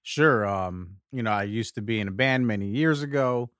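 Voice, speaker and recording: A man's voice: on the low side, moderate pace, fairly bright tone, smooth, dry recording, clean studio sound. A man in his thirties co-hosting a podcast, speaking in an easygoing, hesitant way full of "like"s. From Puerto Rico, raised in Connecticut. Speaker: podcast co-host. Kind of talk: casual chat.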